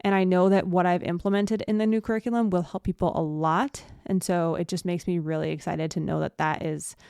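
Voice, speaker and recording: Woman podcaster, smooth low voice, slightly nasal and congested, dry close mic, rambling casual chat. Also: fairly even intonation, fairly quick, darkish tone, no room sound. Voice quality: nasal, stuffy. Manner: chatty, rambling with many fillers and restarts. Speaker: podcast host.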